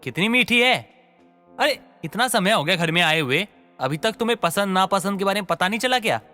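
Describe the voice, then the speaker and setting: Man acting sharp-voiced irritable young husband. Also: sits high, lively intonation, brisk, soft background music. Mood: annoyed, scolding. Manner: scolding, impatient. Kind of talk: dramatised Hindi moral story acting.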